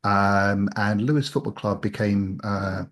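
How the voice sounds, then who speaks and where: A man's voice: lowish voice, steady tone, slow. A man chatting casually as an interview guest, hesitant and self-deprecating. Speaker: interview guest, audio drama writer.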